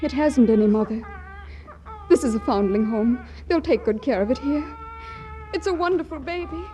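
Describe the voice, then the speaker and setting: A woman's voice: sits high, normal speed, mellow, natural voice. An actress playing a distraught, pleading woman in a 1940s radio comedy. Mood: pleading, frightened. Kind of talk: 1940s radio drama acting.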